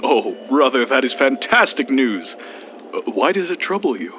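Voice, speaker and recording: An actor playing a supportive, brotherly divine being in a fantasy audio drama, earnest and reassuring. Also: male voice, medium pitch, big pitch swings, normal speed, fairly bright tone, dull audio, slight background noise. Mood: caring. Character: warm, encouraging brotherly male divine being.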